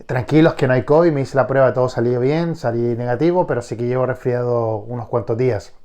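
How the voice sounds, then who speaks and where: A man's voice: medium pitch, fairly quick, dark tone, muffled recording. A man hosting a casual collector vlog, nasal and congested from a cold, enthusiastic and often restarting his sentences. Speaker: collector vlogger.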